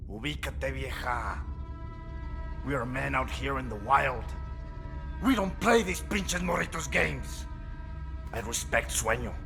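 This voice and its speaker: A man's voice: mid-range voice, creaky. An actor playing a defiant, vengeful cartel man with a Mexican accent, contemptuous and mixing Spanish into his English in a game cutscene. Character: defiant, vengeful cartel enforcer, proud and foul-mouthed. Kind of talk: video game cutscene acting.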